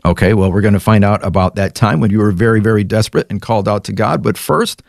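A man hosting an interview, reading a scripted introduction and asking measured, attentive questions. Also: lowish voice, vocal fry, no echo. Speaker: host.

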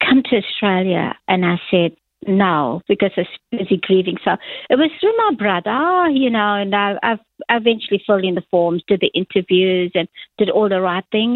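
A woman's voice: mid-range voice, normal speed, no echo. A woman in her sixties from South Africa, telling her life story as an interview guest in a chatty, rambling way with frequent laughs. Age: 60s. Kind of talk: casual testimony interview. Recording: tinny phone line.

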